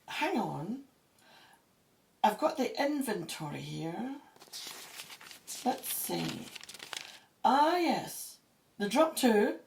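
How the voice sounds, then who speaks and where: Audio drama: wry, game female Egyptologist played by an actress, swooping intonation, faint-to-clear noise behind. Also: on the high side, big pitch swings, drawn-out words, pauses often, darkish tone, exaggerated voice. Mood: pleased. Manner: wry, matter-of-fact. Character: Egyptologist woman, brisk, wry, sceptical but game.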